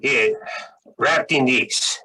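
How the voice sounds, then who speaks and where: An actor playing a prissy, menacing, excitable criminal accomplice in a 1940s radio drama, precise and cutting. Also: male voice, medium pitch, very slow, slightly rough, full character voice. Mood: excited. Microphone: video call. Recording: noisy video-call audio.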